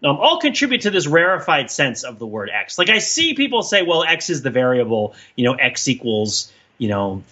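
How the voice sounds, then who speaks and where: A man's voice: medium pitch, very animated, moderate pace, hardly any pauses, pushed and bright, husky, dull audio. A man co-hosting a casual podcast discussion, explaining things in a rambling, digressive way and often checking in with "right?". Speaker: podcast co-host.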